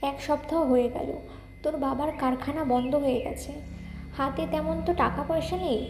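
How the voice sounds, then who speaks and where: A woman playing a gentle, caring young village wife and mother in a cartoon, speaking softly and earnestly. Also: high-pitched, fairly even intonation, a little fast. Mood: sad, resigned. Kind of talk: Bengali cartoon fairy-tale acting. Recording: roomy echo, faint ambient noise.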